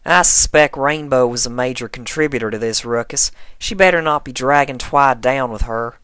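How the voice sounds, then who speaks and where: A man's voice: mid-range voice, moderate pace, fairly bright tone, clear, resonant tone, dry recording, clean studio sound, pitched above the performer's own voice, close to an everyday voice. A man voicing a blunt, folksy young female farmer pony with a plain-spoken drawl. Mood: exasperated.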